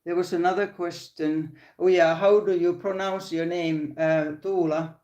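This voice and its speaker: A woman's voice: lowish voice, fairly even intonation, a little slow, mellow, reverberant, dull audio. An older woman with a Finnish accent, hosting a casual live crochet stream from her phone, often restarting sentences and laughing. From Finland. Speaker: crochet channel host.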